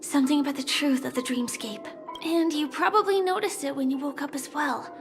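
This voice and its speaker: An actress playing a guarded, perceptive young woman in a video game, speaking in a measured, serious and wary way. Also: high voice, music in the background. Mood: pensive.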